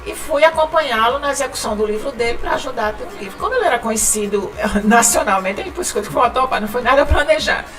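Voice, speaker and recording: A woman from Northeast Brazil speaks with a northeastern Brazilian accent, talking freely as a podcast guest. Her voice sits a little high and she laughs often, repeating words as she goes. She is heard from a step back from the mic, with clear music playing behind her. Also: rapid-fire, few pauses, fairly bright tone, far from the mic. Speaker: guest, writing company founder. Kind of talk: casual podcast interview.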